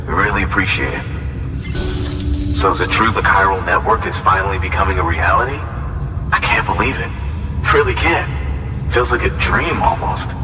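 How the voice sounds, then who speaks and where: An earnest, weary male survivor played by a man with a very low voice. He talks informally in a video game cutscene, in long reverb with clear noise around him. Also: lightly acted. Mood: grateful, hopeful. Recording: long reverb, noisy ambience and vehicle.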